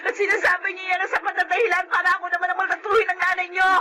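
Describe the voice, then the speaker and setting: Very high-voiced, hurt young Filipino woman. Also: level tone, rapid-fire, very noisy. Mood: angry, tearful. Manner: pleading, emotional protest. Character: hurt, defiant, anxious young granddaughter who answers back. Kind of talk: melodramatic drama acting. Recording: loud music under dialogue.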